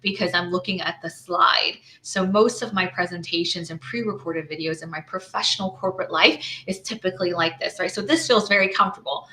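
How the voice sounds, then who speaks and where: A woman's voice: mid-range voice, bright, forceful tone, rough recording. A woman running her own small business, walking through her slides in a casual screen-share, thinking aloud with lots of "right?" tags and restarts.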